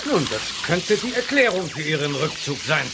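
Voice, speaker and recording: An actor playing a grave, analytical professor with deliberate wording in an audio drama. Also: male voice, on the high side, big pitch swings, very noisy. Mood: thoughtful. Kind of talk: German audio drama acting.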